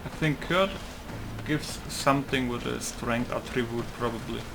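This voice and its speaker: A man's voice: medium pitch, measured pace, gravelly, noisy background. A man giving casual let's play commentary, thinking aloud in a musing way. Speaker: gaming YouTuber.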